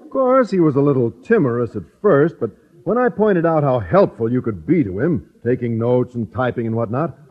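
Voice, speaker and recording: An actor playing a naive, kindly high school teacher, polite and guileless, in 1950s radio sitcom style. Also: male voice, sing-song, normal speed. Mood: eager. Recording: dull vintage radio recording.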